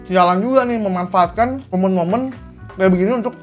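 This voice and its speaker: A man's voice: high voice, brisk, dull audio, faint music behind. A young man from Indonesia, ranting angrily to camera as a vlogger, blunt and swearing often. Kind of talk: heated commentary to camera.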